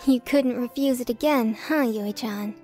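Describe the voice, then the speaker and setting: A woman's voice: on the high side, unhurried, dark tone, lightly acted. A woman voicing an admiring, dreamy teenage schoolgirl in a manga dub, girlish and gushing. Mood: fond, teasing. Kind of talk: manga fandub acting. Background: music.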